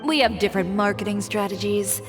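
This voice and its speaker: A woman's voice: mid-range voice, brisk, natural voice. A woman playing a confident, blunt young fashion designer in a video game, speaking in a composed, assertive, matter-of-fact way. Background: music.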